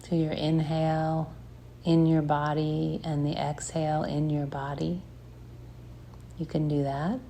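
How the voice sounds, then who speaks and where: A woman guides a meditation in a low, mellow voice with a gravelly edge. She speaks slowly and gently, leaving long pauses between phrases, over a faint background hiss. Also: flat delivery. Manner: slow, soft, gentle guidance with long pauses. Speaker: meditation teacher.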